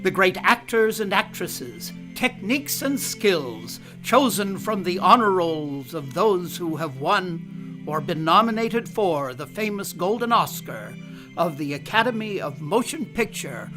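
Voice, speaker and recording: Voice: mid-range voice, very animated, measured pace. A performer playing a grand old-time radio announcer, formal and emphatic, introducing a broadcast drama. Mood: grand, ceremonial. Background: music.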